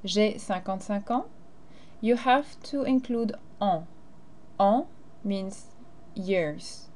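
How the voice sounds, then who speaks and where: A woman's voice: mid-range voice, extremely slow, darkish tone. A teacher, a woman, explaining grammar and carefully modeling phrases in a recorded lesson. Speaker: French teacher.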